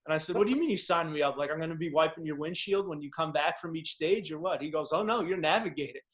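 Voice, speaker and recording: A man whose first language is Czech hosts a casual chat and speaks in a fairly high voice. He tells stories with enthusiastic, slangy energy and keeps the talk moving. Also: fast talker, fairly bright tone. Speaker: podcast host.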